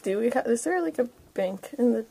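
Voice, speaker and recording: A woman's voice: a little high, expressive, moderate pace, vocal fry, mellow, slightly rough. A woman chatting casually and playfully as a player in a tabletop game, telling what her character does.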